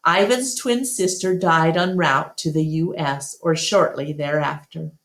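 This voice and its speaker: A woman reading a storybook aloud as narrator, in a measured and expressive way. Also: lowish voice. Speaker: storytime reader. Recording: echoey room.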